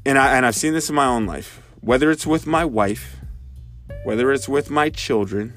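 A man's voice: medium pitch, moderate pace, a bit bright, faint music behind. A man in his thirties, congested, hosting a podcast and thinking aloud, with many fillers and restarts. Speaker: podcast host. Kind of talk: casual spoken reflection.

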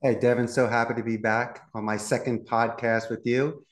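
A man's voice: mid-range voice, little pitch movement, moderate pace, reverberant. A middle-aged man from Philadelphia, a call center CEO, speaking as a podcast guest in a confident, polished way. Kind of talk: podcast interview.

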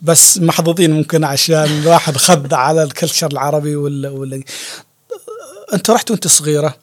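A man's voice: fairly high pitch, fairly even intonation, fast, no room sound. A man hosting an interview, chatting casually with his guest. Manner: conversational, many fillers and restarts. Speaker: host.

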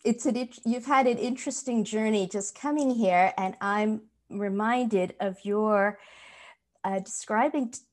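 A woman hosting an interview who asks questions and often gives encouraging murmurs. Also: medium pitch. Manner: encouraging, frequent affirming murmurs. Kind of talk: casual conversational interview.

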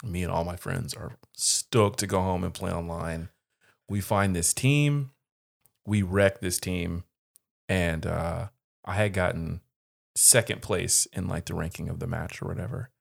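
A man's voice: low voice, measured pace, vocal fry. A man co-hosting a podcast, telling a personal story casually in a laid-back way, with a lot of fillers. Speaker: podcast co-host.

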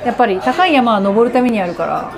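A woman co-host talks quickly in a casual, joking chat. Her voice sits a little high and moves freely up and down. She pokes fun at herself as she goes, with people audibly chattering behind her. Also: gravelly. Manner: animated, self-deprecating joking. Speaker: podcast co-host and radio presenter. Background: crowd noise of an eatery.

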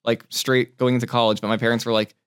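A man's voice: medium pitch, level tone, quick pace, smooth, no echo, clean studio sound. A young man from California, a chef guest on a podcast, telling his story in an easygoing way and laughing often. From born in Taiwan, raised in California. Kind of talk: casual interview chat.

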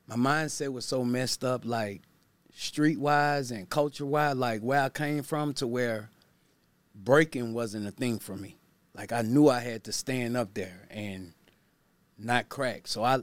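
A man's voice: mid-range voice, dry recording. A man from Baton Rouge, telling his story as a guest in a candid interview, in a laid-back, plainspoken, slangy way. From Baton Rouge, Louisiana. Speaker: guest, pastor and motivational speaker.

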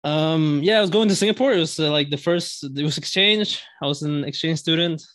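A man's voice: on the high side, brisk, fairly bright tone, dry recording. A young man from Sweden telling a story as a podcast guest, with many fillers and restarts. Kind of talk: casual storytelling.

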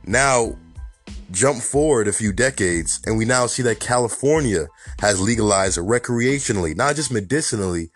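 Hoarse New York man hosting a podcast. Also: lowish voice, animated, moderate pace, soft background music. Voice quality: raspy. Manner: chatty, fillers like "you know" and "honestly". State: slight cold, hoarse. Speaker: podcast host. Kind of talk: casual solo podcast commentary.

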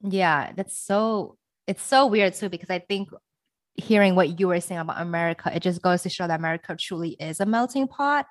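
A woman's voice: lowish voice, a little fast, dry recording. Bubbly, chatty young podcast host woman. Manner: bubbly, chatty, laughs often, rambling questions. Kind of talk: casual interview chat.

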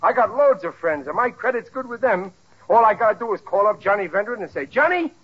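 A man's voice: high-pitched, expressive, a little fast, husky. An actor playing a stubborn, easily flustered working-class family man, in a 1940s radio sitcom. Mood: flustered, scheming. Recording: dull vintage radio recording.

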